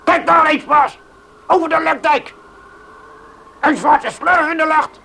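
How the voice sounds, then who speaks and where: Shrill, creaky farmhand. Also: male voice, fairly even intonation, slow, sharp tone, heavily acted. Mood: alarmed. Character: anxious, watchful male farmhand, deferential to his boss. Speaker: actor in a radio play. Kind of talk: Dutch radio drama acting.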